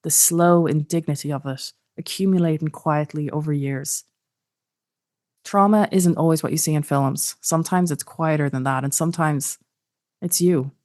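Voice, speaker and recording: A woman with a fairly low voice hosts a podcast. She presents and asks questions in measured phrases, leaning on key words. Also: a little mellow. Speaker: podcast host. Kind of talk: scripted introduction and interview.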